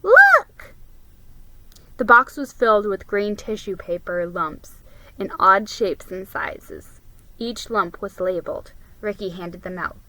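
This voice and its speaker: Woman, storybook narrator, slow pace, bright tone, dry close sound. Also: mid-range voice, no room sound, poor audio. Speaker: storybook reader. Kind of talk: dramatic reading of a children's story.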